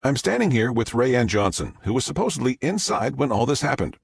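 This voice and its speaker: A man voicing a woman TV news reporter speaking in a crisp live-broadcast manner. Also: medium pitch, big pitch swings, brisk, pitched above the performer's own voice, full character voice. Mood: brisk. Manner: crisp newscaster delivery.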